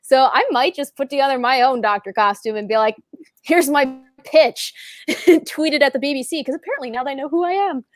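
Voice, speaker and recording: A woman chatting on a casual fan panel, animated and laughing often. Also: high voice, fairly quick. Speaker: panel guest.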